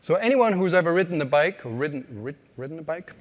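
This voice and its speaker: A man with an Austrian accent delivers a conference keynote in a slightly high voice. He talks casually, quizzes the audience and fills pauses with ums. A long reverb from the hall hangs on every phrase. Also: lively intonation. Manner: conversational, asks the audience questions, frequent uh fillers. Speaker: conference speaker. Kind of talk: keynote talk to a live audience.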